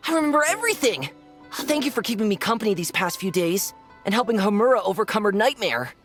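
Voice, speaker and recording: A woman's voice: mid-range voice, very animated, fast, soft background music, natural voice. A woman voicing a devoted, hot-tempered young male imaginary-friend creature in a video game, earnest and emotive. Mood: relieved, grateful. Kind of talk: animated video game dialogue.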